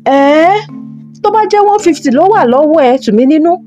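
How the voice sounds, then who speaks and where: A woman playing a loud, exasperated older mother scolding her daughter in an audio drama, with a Nigerian accent. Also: sits high, animated, moderate pace, faint music behind.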